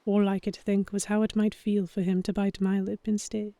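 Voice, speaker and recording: A woman playing a young, flustered heroine who narrates a pirate romance in the first person, with expressive dramatic delivery. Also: medium pitch, moderate pace, dry recording, lightly acted. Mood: breathless longing.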